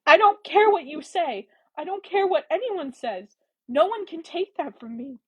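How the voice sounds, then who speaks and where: A proud young Regency heroine, acted by a woman narrator, speaks in a high, slightly husky voice. She is forceful, indignant and openly emotional, as in a romance audiobook. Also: animated, normal speed, rough recording. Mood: furious, emotional.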